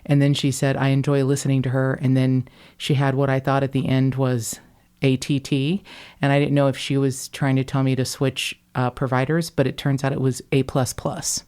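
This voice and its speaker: Deep-voiced kentucky woman hosting a podcast, wry and chatty, drops a swear now and then. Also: little pitch movement, normal speed, mellow, smooth. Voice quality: deep voice, often taken for a man on the phone. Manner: wry, swearing, laughs easily. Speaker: podcast host. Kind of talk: casual chat.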